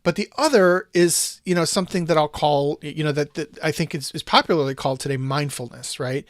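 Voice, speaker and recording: A man with a slightly higher voice hosts a casual podcast and talks in long, winding thoughts that loop back on themselves. The sound is dry and close, with no room around it. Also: a little fast, no room sound. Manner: thoughtful, rambling with restarts and asides. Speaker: podcast host.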